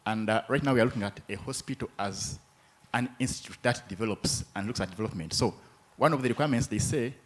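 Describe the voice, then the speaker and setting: A man from Uganda speaks from the floor at a conference, his voice husky and fairly low. He lays out his points in a formal, measured and methodical way. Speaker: hospital administrator. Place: conference room.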